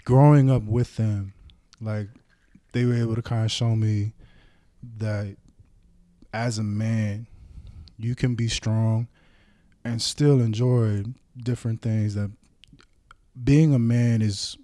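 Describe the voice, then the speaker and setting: A man's voice: lowish voice, fairly even intonation, slow, pauses often, dark tone, dry recording. A young man who grew up in Samoa, a podcast guest talking in a thoughtful, laid-back way, with lots of casual fillers. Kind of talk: casual chat.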